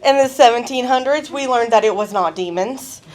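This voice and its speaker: A woman's voice: fairly high pitch, moderate pace. Chatty woman giving a talk. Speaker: student presenter. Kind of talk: class presentation. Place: college event room.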